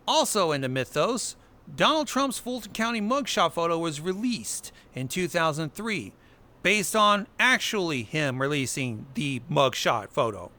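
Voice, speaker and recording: A man's voice: sits high, bright, forceful tone. A young man hosting a casual monthly roundup of online horror series, with wry asides and jokes. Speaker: host of an analog horror news roundup.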